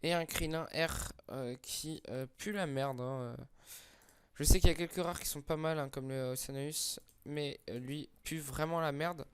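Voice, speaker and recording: A man's voice: fairly high pitch, fairly even intonation, normal speed, clear, resonant tone, dry recording. A young man with a slight head cold, casually commenting on game summons with lots of fillers, hesitations and crude slang. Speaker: gaming YouTuber.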